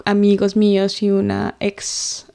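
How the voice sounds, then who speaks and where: Colombian woman with a smooth, gravelly voice. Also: mid-range voice, completely flat delivery, takes it slowly. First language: Spanish. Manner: thoughtful, with frequent fillers and soft laughs. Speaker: co-host. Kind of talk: casual podcast chat.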